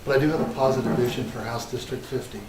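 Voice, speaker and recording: A soft-spoken man making an assertive opening statement as a political candidate, listing his positions. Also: mid-range voice, fairly even intonation, fast. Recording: roomy hall, distant mic, noisy.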